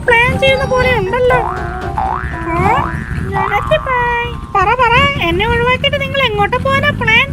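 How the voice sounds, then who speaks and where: A woman voicing a playful, cheeky young cartoon child in an excitable, teasing manner. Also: very high voice, moderate pace. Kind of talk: cartoon voice acting. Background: loud children's music.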